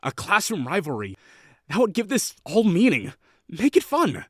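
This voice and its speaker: A man's voice: high-pitched, huge pitch swings, fairly bright tone, no room sound, clean studio sound, full character voice. A young man playing a haughty, sarcastic college rival who is secretly lonely, in a scripted audio roleplay. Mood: exasperated. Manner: sarcastic, scornful, quick to bristle.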